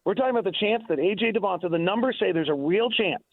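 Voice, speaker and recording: A man's voice: high voice, animated, fast talker, no room sound. An American man doing unscripted sports analysis on radio, insistent and emphatic, often repeating himself, over a thin phone line. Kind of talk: unscripted sports debate and commentary.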